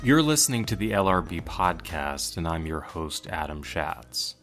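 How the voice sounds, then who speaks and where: A man hosting a podcast, reading a measured, formal introduction of his guest. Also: low voice, big pitch swings, over loud music. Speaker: podcast host. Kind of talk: scripted introduction.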